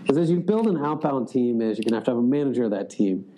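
A man's voice: mid-range voice, animated, rapid-fire, dark tone, slight background noise, rough recording. A man in a casual interview, speaking loosely, with lots of "like" and restarts. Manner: loose, filler-heavy, frequent "like". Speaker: sales executive guest.

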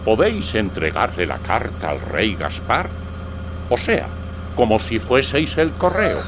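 A man's voice: wildly swooping pitch, unhurried. An actor playing a comic, matter-of-fact Wise Man king in a children's radio play. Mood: wry, matter-of-fact. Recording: clearly noisy rumbling background.

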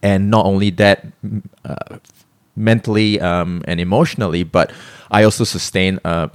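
Low-voiced Singaporean man, dry sound. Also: fairly even intonation, normal speed, clear, resonant tone, no echo. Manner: rambling, self-correcting, laughs often. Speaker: guest, personal trainer. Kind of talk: casual interview talk. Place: hotel room.

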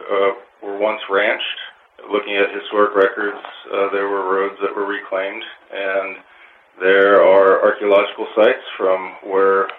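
A man answering interview questions as a park official, explaining in a halting, measured way with technical wording. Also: fairly low pitch. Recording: phone-like line with clear noise.

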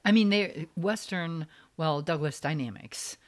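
A woman's voice: deep voice, measured pace. A woman co-hosting a podcast, chatting casually in an effusive, agreeable way. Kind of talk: casual conversational chat.

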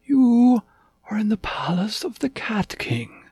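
An adult storyteller voicing a great tiger, a kindly and formal king of cats who speaks deliberately. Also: medium pitch, sing-song, husky, no room sound, voice pushed up, heavily acted. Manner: formal, deliberate, gently regal.

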